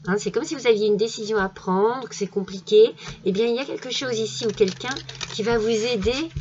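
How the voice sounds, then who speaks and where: A woman's voice: fairly high pitch, a little fast, dull audio. Hesitant French woman with a cold. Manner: hesitant, many fillers and restarts; gentle, soothing. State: has a cold. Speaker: tarot card reader. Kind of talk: casual tarot reading monologue.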